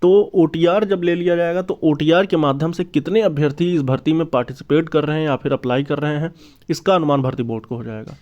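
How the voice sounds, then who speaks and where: A man speaks in a slightly high voice, explaining news informally like an online presenter. He restates his points as he goes. The recording sounds somewhat muffled and low in fidelity. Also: a little fast, darkish tone. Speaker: YouTube exam news presenter. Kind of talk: informal explanatory news update on job recruitment. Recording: dull, lo-fi sound.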